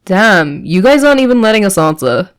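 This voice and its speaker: A woman's voice: mid-range voice, very animated, fairly quick, clear, resonant tone, lightly acted. Woman playing teen boy, easygoing, lazy, casual, unbothered, storybook character. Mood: lazily reassuring. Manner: casual, laid-back.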